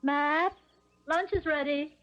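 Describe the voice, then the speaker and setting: An actress playing a gentle, uneasy stepmother in a 1970s radio drama, modest and apologetic in her manner. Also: sits high, steady tone, slow, loud music behind the voice. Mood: modest, agreeable. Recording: muffled vintage radio broadcast recording.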